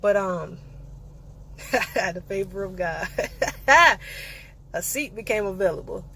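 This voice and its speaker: Animated young woman telling a story. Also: medium pitch, slow pace, slight background noise. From eastern North Carolina. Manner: animated, playful storyteller with sassy asides. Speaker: vlogger. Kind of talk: casual storytime vlog.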